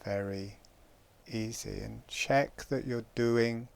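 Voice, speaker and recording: A man guiding a breathing meditation, giving calm, gentle instructions with long pauses. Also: mid-range voice, gravelly, clear, resonant tone. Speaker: meditation guide.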